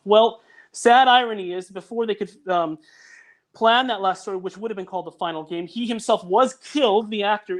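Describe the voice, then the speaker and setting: A man guesting on a casual online talk show, telling a detailed story with lots of dates and restarts. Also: high-pitched. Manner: detailed, fact-heavy storytelling with frequent restarts. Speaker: guest, audio drama producer. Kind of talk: casual chat.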